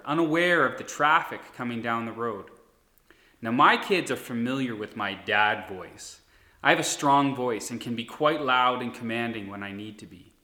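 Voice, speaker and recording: A pastor in his thirties delivers a sermon in an earnest, steady way. His voice is bright and forward, at a middle pitch for a man. The recording carries a noticeable room echo. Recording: echoey room.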